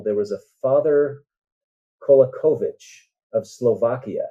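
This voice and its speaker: A man speaking as a guest author in an interview, in an earnest, halting manner with deliberate pauses. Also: mid-range voice, dark tone. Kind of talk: conversational interview answers. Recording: muffled, dull band-limited sound.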